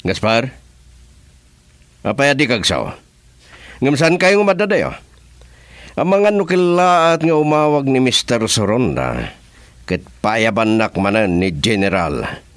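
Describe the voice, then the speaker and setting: An actor with a Filipino accent playing a commanding, confrontational crime boss in a radio drama. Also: male voice, medium pitch, big pitch swings, takes it slowly, pauses often, fairly bright tone, dry recording. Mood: businesslike. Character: commanding male crime boss in shady business.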